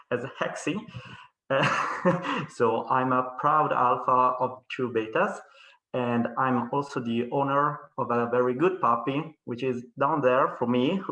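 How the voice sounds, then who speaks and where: A man's voice: mid-range voice, sharp tone. Upbeat man in an echoey room. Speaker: guest. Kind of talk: casual video call chat.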